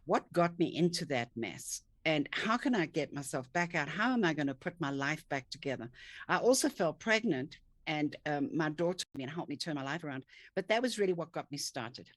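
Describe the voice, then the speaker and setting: A woman's voice: low-pitched, animated, normal speed, no echo. A woman, a coach telling her story earnestly in an interview over a video call. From South Africa. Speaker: guest, thinking coach. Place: home office, indoors. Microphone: video call webcam.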